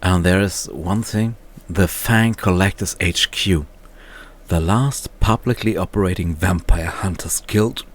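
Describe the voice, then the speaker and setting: Deep male voice, slow delivery, casual reviewer, halting and unscripted. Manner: halting, searching for words, often chuckling to himself. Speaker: reviewer, video host. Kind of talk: casual off-the-cuff book review.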